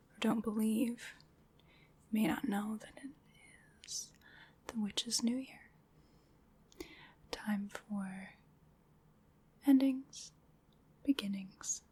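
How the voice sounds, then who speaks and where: A woman playing a gentle, solemn witch in an ASMR roleplay, guiding a ritual in a soft, breathy, deliberate whisper. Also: a little high, heavily acted. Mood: inviting, mysterious. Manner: slow, soothing, deliberate guided-ritual delivery.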